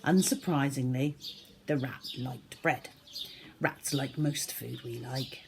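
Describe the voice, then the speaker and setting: A woman reading a children's book aloud as a storyteller, in an expressive, theatrical manner. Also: rumbling low, slow pace, creaky, background noise. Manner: animated, theatrical, with acted-out sound effects.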